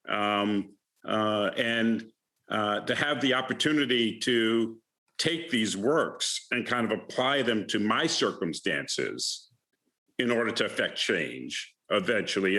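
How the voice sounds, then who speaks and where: Bright-voiced, low-pitched American man in his fifties. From the Bronx, New York City, United States. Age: 50s. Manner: halting, searching for words. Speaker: alumni guest, retired finance professional. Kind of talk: reflective conversational interview answers.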